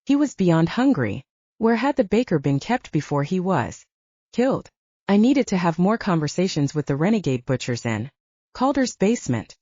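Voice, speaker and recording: Woman, audiobook narrator, animated delivery, dry dull lo-fi sound. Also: fairly low pitch, normal speed, no room sound. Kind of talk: first-person audiobook narration.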